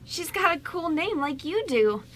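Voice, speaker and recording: High, bright, sweet young woman acted out. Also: big pitch swings, measured pace, slight background noise, full character voice. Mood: supportive. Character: sweet, supportive young woman, encouraging best friend. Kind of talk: amateur radio drama script reading.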